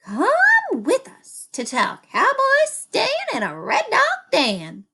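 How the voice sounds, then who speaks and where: A woman voicing an excitable, alarmed female prairie chicken for a storybook, squawking and crying out her lines. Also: extremely high pitch, takes it slowly, slight background noise, voice pushed up, heavily acted. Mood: frantic, alarmed. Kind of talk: animated children's storybook reading.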